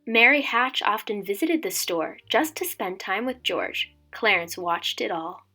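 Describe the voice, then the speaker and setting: A woman's voice: mid-range voice, lively intonation, unhurried, sharp tone, soft background music. A woman in her twenties speaking as a teacher doing a storybook read-aloud for children. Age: 20s. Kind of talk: casual intro chat and storybook read-aloud.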